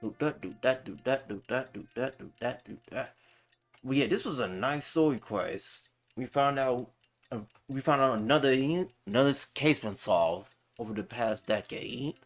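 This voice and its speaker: A man's voice: medium pitch, moderate pace. A man livestreaming a game with casual, laid-back commentary, often repeating his phrases. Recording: game music faintly behind.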